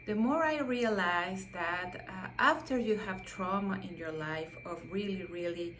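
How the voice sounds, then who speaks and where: A woman's voice: mid-range voice, a little slow, a bit bright, faint music behind. A middle-aged Mexican woman, a native Spanish speaker with a Mexican accent, talking about herself as a psychotherapist in a hesitant, reflective way with many fillers and restarts. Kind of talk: self-introduction monologue.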